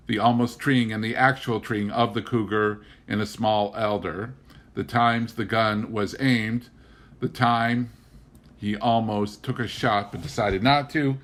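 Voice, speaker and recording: A man reading a memoir novel aloud in an expressive, scripted storytelling style. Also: fairly low pitch. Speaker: reader of an audiobook.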